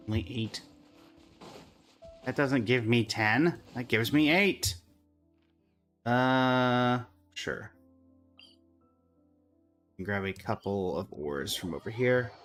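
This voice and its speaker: A man's voice: mid-range voice, a bit bright. A man livestreaming a game, chatting casually and in a friendly way with viewers while commenting on his play.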